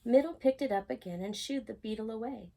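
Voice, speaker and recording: A woman reading a picture book aloud in an expressive, animated storytime style, acting out the characters. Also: on the high side, fairly quick, vocal fry, background noise. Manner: animated, acts out characters' lines.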